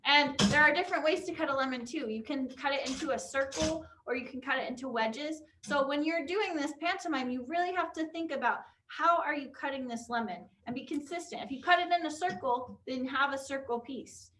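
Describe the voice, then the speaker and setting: A woman's voice: sits high, a little fast, hardly any pauses, sharp tone, slight background noise. A young woman teaching an online drama class for kids, encouraging and upbeat as she guides a pretend game over a video call. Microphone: computer on a video call.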